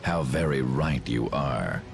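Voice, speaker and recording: A man's voice: extremely low pitch, lively intonation, takes it slowly, creaky, over music, full character voice. A man voicing a grandiose, cold villain who would rule the world, speaking formally and theatrically in a video game cutscene. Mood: cold, imperious.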